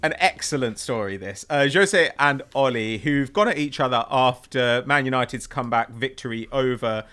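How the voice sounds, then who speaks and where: British male podcast host, young, forceful bright tone, football discussion. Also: medium pitch, normal speed, hardly any pauses, clean tone.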